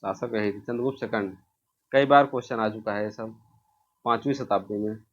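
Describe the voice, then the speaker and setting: A man's voice: lowish voice, fairly even intonation, a little fast, muffled recording. A man from India teaches an informal exam revision lesson, posing questions, answering them himself and repeating key answers.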